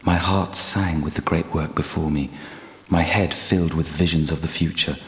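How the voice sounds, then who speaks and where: Deep, solemn guardian knight. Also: male voice. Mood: wistful, proud. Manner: solemn, archaic, formal diction. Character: proud, sorrowful guardian knight and architect, grave and devout. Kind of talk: dramatic video game character acting. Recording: long cavernous hall reverb.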